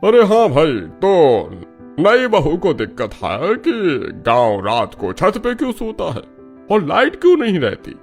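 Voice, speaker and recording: A man puts on a grumbling village elder for a cartoon tale. The voice is very high and swings widely in pitch, chiding in rustic, colloquial talk. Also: huge pitch swings, a little slow, faint music behind, heavily acted. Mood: folksy. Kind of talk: Hindi cartoon story dialogue.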